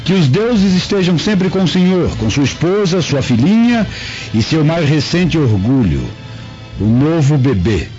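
Radio play, treacherous merchant: oily politeness over hidden spite, hugely varied intonation, lo-fi sound. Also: male voice, on the high side, big pitch swings, unhurried, a little mellow. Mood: falsely obliging. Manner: servile, oily politeness hiding menace. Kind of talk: radio drama acting. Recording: dull lo-fi audio with music.

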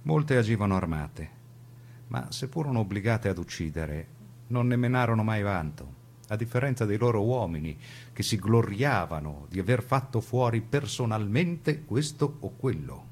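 A man narrating a scripted radio documentary drama in a grave, deliberate way with theatrical phrasing. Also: fairly low pitch, very animated, darkish tone, husky.

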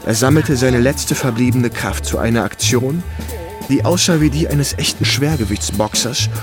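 An actor playing a young war correspondent who recounts his memories in measured, vivid radio play narration. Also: medium pitch, lightly acted. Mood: gripping, vivid. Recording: clear music behind. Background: music.

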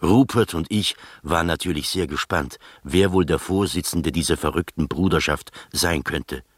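Deep-voiced gentleman storyteller, dry sound. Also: no room sound. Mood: bemused, recounting. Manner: measured, literary storytelling. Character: gentleman narrator recounting his strange adventures, bemused, literary. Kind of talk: scripted narration in radio drama.

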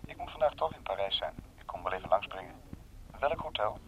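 An actor playing a polite, smooth-talking man on the telephone in a radio drama. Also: lowish voice, expressive, fast talker, a bit bright. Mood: courteous. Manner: courteous, accommodating. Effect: telephone filter. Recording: faint, noisy, dull telephone line.

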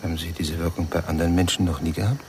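An actor playing a cynical yet gentle man with a gravelly voice and a blunt, probing manner in a German radio crime drama. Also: deep voice, level tone, very fast, slight background noise, natural voice. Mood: probing, softer.

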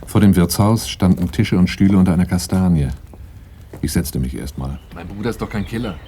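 An actor playing a wary, plain-spoken young bodyguard in a German radio play, both in dialogue and as narrator. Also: male voice, low voice, a little fast, mellow, natural voice. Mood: defensive. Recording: faint noise, 1980s radio play.

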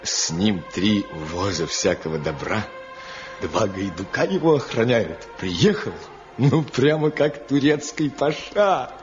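An actor playing a gossipy, excitable old father in a village radio drama, repeating his words for emphasis. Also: on the low side, takes it slowly. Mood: excited. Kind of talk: Soviet radio drama acting. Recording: muffled 1970 radio play recording, faint music.

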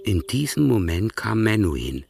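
An actor narrating a literary radio play as a measured, wry storyteller. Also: male voice, fairly low pitch, sing-song, creaky, a little mellow, soft background music.

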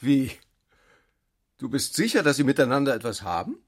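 An actor playing a sceptical, questioning civilian friend in a radio drama. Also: male voice, medium pitch, sing-song, normal speed, breathy edge.

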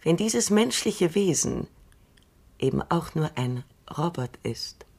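An actress playing Doctor Calvin, a shrewd, dry and assured elderly woman robot psychologist, speaking in a measured, knowing way in a radio drama. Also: sits low, lively intonation, darkish tone, breathy edge, exaggerated voice. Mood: wry.

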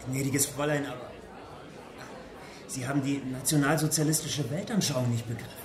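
An actor playing a touchy, excitable Nazi stormtrooper in a radio drama, stiffly courteous and self-important. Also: male voice, medium pitch, fairly quick, a crowd in the background, close to an everyday voice. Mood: offended. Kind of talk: German radio drama acting. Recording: echoey room, off from the mic.

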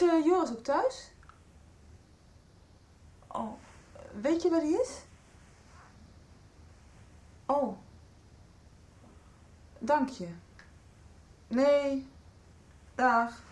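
Radio soap: insecure, insistent teenage girl, high voice with big swings, hesitant and pleading. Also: animated, drawn-out words, pauses often, darkish tone, exaggerated voice. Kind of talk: Dutch radio soap acting.

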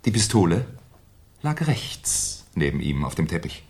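An actor playing a shrewd, sardonic Paris police inspector in a 1970s German radio crime play, deliberate and mock-courteous. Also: male voice, deep voice, sing-song, a little mellow, slightly rough, full character voice. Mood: sardonic lecturing.

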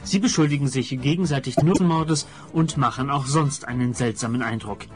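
A man reads a scripted recap as the announcer of a radio serial. His voice is of average pitch, with some music underneath. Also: moderate pace.

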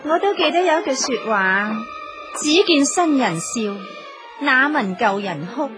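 An actress with a Hong Kong accent playing a jealous, sharp-tongued jilted woman in a radio drama, accusing and insistent. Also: high-pitched, expressive, measured pace, music in the background. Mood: reproachful. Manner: accusing, badgering, theatrical. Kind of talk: Cantonese radio drama acting.

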